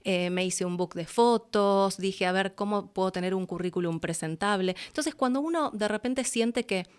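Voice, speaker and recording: Middle-aged female, Rioplatense accent. Casual, expressive conversational storyteller in an interview. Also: medium pitch, moderate pace, clear, resonant tone. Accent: Argentine Rioplatense. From Argentina. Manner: expressive, chatty, acts out her own past thoughts. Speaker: interview guest, voice actress and announcer.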